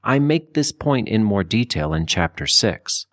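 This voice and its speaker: Man, nonfiction audiobook narration, very varied intonation, measured phrasing, dry close sound. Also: fairly low pitch, very animated, fairly bright tone, no echo. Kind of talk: scripted audiobook narration of a nonfiction political book.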